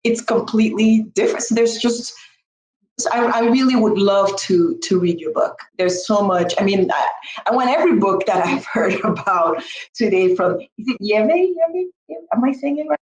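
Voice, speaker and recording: A woman's voice: mid-range voice, normal speed. Woman, middle-aged, chatty storyteller acting out what people said, roomy echo. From Cameroon. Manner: expressive, acts out quoted speech. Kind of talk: animated personal storytelling in a group discussion.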